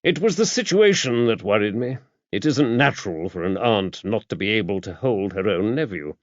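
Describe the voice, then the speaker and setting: A man voicing a mild, courteous priest in an audiobook, measured and wry. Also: fairly low pitch, animated, no room sound, lightly acted. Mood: reflective. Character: mild, courteous male priest, a former spy, wryly inquisitive. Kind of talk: audiobook character dialogue. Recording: dull, muffled lo-fi audio.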